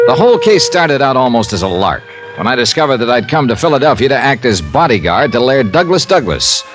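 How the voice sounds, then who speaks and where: An actor playing a wry, hard-boiled insurance investigator in a 1950s radio drama, clipped and matter-of-fact. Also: male voice, medium pitch, close to an everyday voice. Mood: wry narration. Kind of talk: 1950s radio detective acting and narration.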